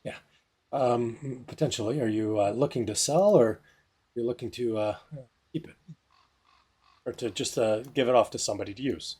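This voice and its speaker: A man's voice: mid-range voice, normal speed, long pauses, darkish tone, husky, close to an everyday voice. A man voicing a tired, courteous male village leader in a tabletop game. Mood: weary, courteous.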